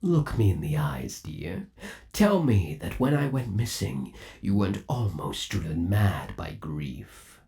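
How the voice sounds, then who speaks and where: A man's voice: deep voice, very animated, slow pace, warm tone, full character voice. A man playing an undead animatronic boyfriend in an ASMR roleplay, coaxing and theatrical, lingering on endearments with eerie, possessive devotion. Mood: soothing, obsessive. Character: undead animatronic boyfriend, obsessively devoted, eerily tender yandere.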